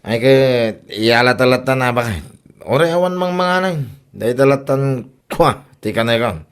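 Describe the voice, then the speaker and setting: An actor playing a sheepish, evasive husband who gambles and mumbles excuses in a comedy radio drama. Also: male voice, medium pitch, lively intonation, moderate pace, clean tone, lightly acted.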